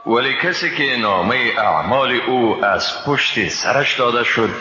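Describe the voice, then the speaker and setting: A man with an Afghan Dari accent reads a scripture translation in a solemn, measured, formal declamatory style. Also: mid-range voice, animated, bright, forceful tone, faint music behind. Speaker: Quran translation reader. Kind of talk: solemn scripted religious reading. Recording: echoey hall reverb, slightly dull sound.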